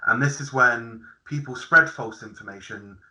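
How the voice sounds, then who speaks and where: Bright-voiced young man, lecturing hesitantly. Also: medium pitch, moderate pace, highs cut off. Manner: hesitant, filler-heavy explaining. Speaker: research student. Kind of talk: online public lecture.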